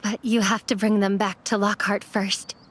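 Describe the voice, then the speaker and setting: A woman's voice: mid-range voice, level tone, moderate pace, close to an everyday voice. A woman playing a young immortal apprentice mortician in an anime game, earnest and subdued. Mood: resolute.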